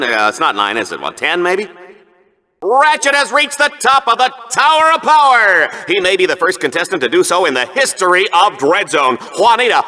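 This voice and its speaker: High, brash, hammy arena announcer. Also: male voice, sharp tone, heavily acted. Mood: excited, glib. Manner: theatrical, smug, swooping from booming hype to chatty asides. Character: brash, shallow, hammy male sci-fi gladiator show announcer. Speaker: arena game show announcer and commentator. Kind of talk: exaggerated sports and game show commentary.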